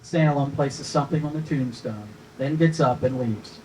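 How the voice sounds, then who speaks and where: A man's voice: mid-range voice, normal speed, a little mellow. A man reading stage directions as scripted narration at a screenplay table read. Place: room at a live table read. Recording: distant mic, faint room noise.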